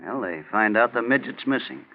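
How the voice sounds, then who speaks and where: An actor playing a confident, cold-blooded crook, insistent and hard-boiled, in a 1940s radio drama. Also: male voice, medium pitch, moderate pace, vocal fry, natural voice. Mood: smug, scheming. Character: cocky, cold-blooded male crook, scheming ringleader. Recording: dull 1940s radio recording.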